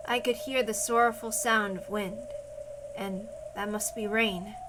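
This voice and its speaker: A woman's voice: medium pitch, fairly even intonation, measured pace, background noise. A woman reading a visual novel aloud as scripted, dramatic narration.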